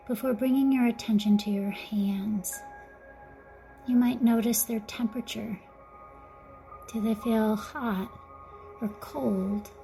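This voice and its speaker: A woman guiding a mindfulness meditation in a gentle, soothing, softly spoken way, with breathy phrase endings. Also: a little high. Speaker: meditation guide. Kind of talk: guided mindfulness meditation narration.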